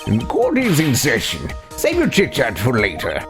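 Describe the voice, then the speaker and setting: Man acting as a stern judge with swooping pitch. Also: huge pitch swings, moderate pace. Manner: formal, stumbling over words. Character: stern, fussy male courtroom judge. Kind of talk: voiced video game playthrough. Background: video game music.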